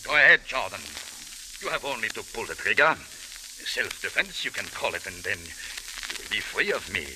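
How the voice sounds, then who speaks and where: An actor playing a menacing foreign killer obsessed with gold, speaking formally and deliberately in an old radio drama. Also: male voice, lowish voice, big pitch swings, sharp tone, heavily acted. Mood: goading. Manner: formal, deliberate, taunting phrasing. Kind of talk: 1940s-50s radio drama acting. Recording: hissy, crackly old radio recording.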